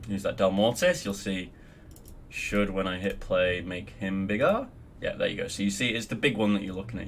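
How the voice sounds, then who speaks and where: Young Australian man, lowish voice, offhand and rambling, faint hum under the mic. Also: a bit bright. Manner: rambling and offhand, frequent ums, casual swearing. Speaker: guild member teaching raid logs. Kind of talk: casual screen-recorded tutorial.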